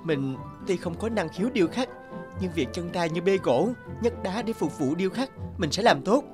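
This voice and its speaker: A man's voice: high-pitched, expressive, moderate pace. A man playing a polite, humble, kind-hearted young wanderer in a cartoon fairy tale, speaking earnestly. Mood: wondering, hopeful. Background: background music.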